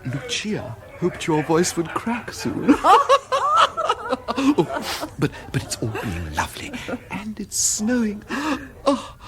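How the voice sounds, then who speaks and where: An actor playing a fussy, effusive genteel bachelor in a radio comedy, gushing and stammering. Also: male voice, high voice, huge pitch swings, slow pace, heavily acted. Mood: gushing delight.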